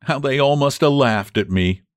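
A man's voice: mid-range voice, moderate pace, smooth, no echo, clean studio sound, voice pushed up, lightly acted. A man voicing an awkward, sensitive young man who speaks hesitantly and earnestly. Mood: rueful.

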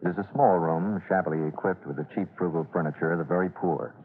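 A man with a fairly low voice narrates in the manner of a 1940s radio serial announcer. His scripted delivery is formal and dramatic. The recording is thin and narrow, like an old broadcast. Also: quick pace, slightly rough. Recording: tinny, band-limited old radio recording.

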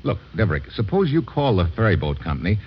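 An actor playing a tough, brusque American investigator in a classic radio drama, with blunt, slangy talk. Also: male voice, fairly low pitch, big pitch swings, quick pace, slight background noise. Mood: businesslike. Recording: dull vintage radio recording.

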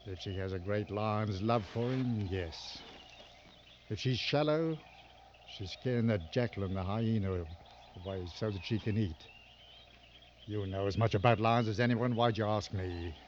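An actor in an old radio drama playing a weary, sardonic big game hunter with a gruff, brooding manner. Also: male voice, on the low side, measured pace, darkish tone, natural voice. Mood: dry, wry. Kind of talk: 1940s-60s radio drama acting. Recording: dull, noisy old radio recording.

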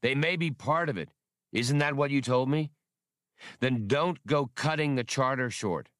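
A man's voice: mid-range voice, a little slow, fairly bright tone, dry recording, studio quality, pitched above the performer's own voice, close to an everyday voice. A man voicing a brash, wary male space smuggler in an audiobook, talking in a clipped, sardonic, bossy way. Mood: urgent, commanding. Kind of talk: audiobook character dialogue.

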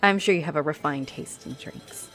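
A woman's voice: sits low, normal speed, sharp tone, soft background music. A woman playing a friendly, teasing, flirty female halfling orc adventurer in a roleplay audio addressed to the listener. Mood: playful, flirty. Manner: teasing, chuckling, coy. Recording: noticeable noise behind the voice.

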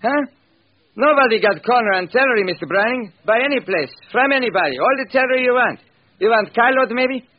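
High-voiced immigrant greengrocer, old radio. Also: male voice, expressive, a little fast. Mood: puzzled. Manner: broken English, short salesman's phrases. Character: plain-spoken, eager immigrant male greengrocer. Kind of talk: old-time radio drama acting. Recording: muffled, hissy vintage radio recording.

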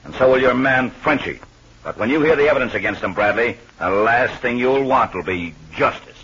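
An actor playing a pompous, domineering military intelligence captain with a brusque, sneering manner in a 1940s radio drama. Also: male voice, medium pitch, animated, a little fast, lightly acted. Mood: smugly accusing. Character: pompous, hard-nosed military intelligence captain prosecuting a case. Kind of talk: 1940s radio adventure acting. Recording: muffled old radio recording, faint noise.

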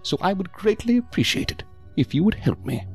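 Creaky, theatrical, condescending hospital psychiatrist. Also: male voice, high voice, sing-song, faint music behind. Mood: soothing, probing. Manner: measured, formal, theatrical, unctuous. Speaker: actor. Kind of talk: audio drama acting.

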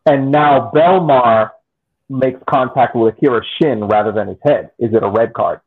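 Husky man on a tinny line. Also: mid-range voice, normal speed. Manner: methodical, argues through hypotheticals. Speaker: podcast co-host. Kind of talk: casual sports debate.

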